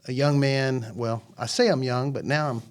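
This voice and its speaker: A man's voice: medium pitch, steady tone, normal speed, clean tone. A man in his forties, a ministry founder, telling his story as a podcast guest in an earnest, rambling way with many restarts. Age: 40s. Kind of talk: casual chat.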